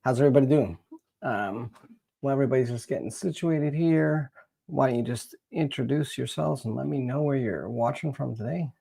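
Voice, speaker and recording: Male livestream host, Canadian accent, creaky and rough-edged voice, informal and meandering delivery. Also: medium pitch, dark tone. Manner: rambling, halting, thinking aloud. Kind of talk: casual chat to livestream viewers.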